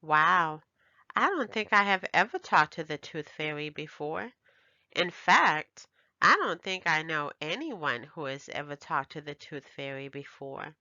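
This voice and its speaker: A woman voicing a caring, easily amazed mother in a children's storybook, expressive and gently encouraging. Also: sits low, a little slow, no echo, highs cut off, full character voice.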